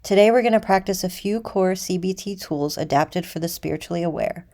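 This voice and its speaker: A woman leads a guided meditation in a soft-edged, very mellow voice. Her register sits a little low, with a gravelly creak. She gives instructions deliberately, leaving space between phrases. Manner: measured, deliberate, with pauses.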